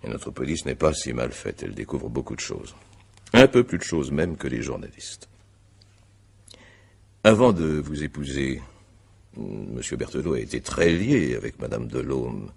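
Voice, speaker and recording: Very deep, gravelly examining magistrate. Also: male voice, sing-song, exaggerated voice. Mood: measured, insistent. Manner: formal, measured, hesitant questioning. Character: probing, courteous male examining magistrate, methodical and insistent. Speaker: actor in a radio mystery. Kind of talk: French radio mystery drama acting.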